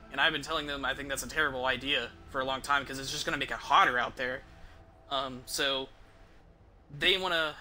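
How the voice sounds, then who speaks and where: A man's voice: on the high side, bright, forceful tone. A young man chatting casually and offhandedly as he streams a video game, rambling along between bits of play. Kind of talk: casual chat while playing a video game. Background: game music.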